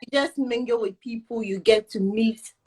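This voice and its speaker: A young woman from Ghana, a guest in a casual live video chat, talkative and pausing to search for words. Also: fairly high pitch, a little mellow, breathy edge. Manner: chatty, searching for words with fillers.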